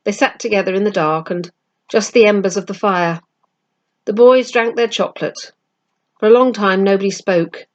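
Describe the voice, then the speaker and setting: A woman reading a children's story aloud with measured narration. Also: mid-range voice, dull audio. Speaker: storybook reader.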